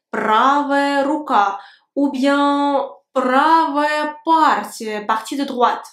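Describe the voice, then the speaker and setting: A young woman with a Russian accent, a native Russian speaker, teaching a language lesson to camera in French with clear, careful enunciation. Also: high voice, roomy sound. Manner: clear, slow enunciation of words with gestures. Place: indoor room with a plant. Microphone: camera at medium framing.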